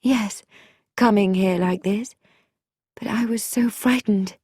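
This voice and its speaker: A woman's voice: medium pitch, steady tone, slow, a little mellow, breathy edge, no echo, studio quality, pitched above the performer's own voice. A woman voicing a frightened, desperate young wife in a mystery story, hesitant and pleading.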